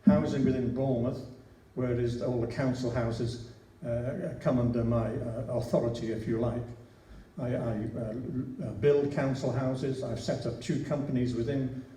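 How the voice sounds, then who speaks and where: A man's voice: medium pitch, fairly even intonation, a little slow, mellow. An older man in his sixties with a Liverpool accent, giving a chatty, anecdotal speech as a council candidate at a hustings, often restarting his sentences. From Liverpool, England. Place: large church hall. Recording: echoey hall, distant mic, faint hiss.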